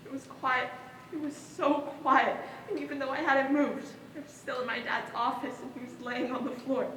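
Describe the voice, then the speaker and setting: A woman's voice: high voice, fairly even intonation, normal speed, a bit bright. A woman acting a traumatised daughter who recounts a hostage ordeal in a projected, dramatic stage monologue. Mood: haunted, dazed. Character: traumatised daughter recounting being held hostage with her father. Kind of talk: one-act stage play monologue. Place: indoor stage in a large reverberant hall. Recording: distant echoey hall, some background noise.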